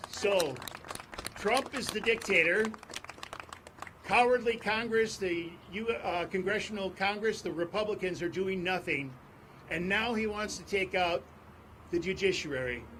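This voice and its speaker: A man speaking at an outdoor press conference on court steps, in a forceful, repetitive rally cadence. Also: high-pitched, unhurried, a little hiss or hum. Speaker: advocacy group speaker. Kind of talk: impassioned press conference speech.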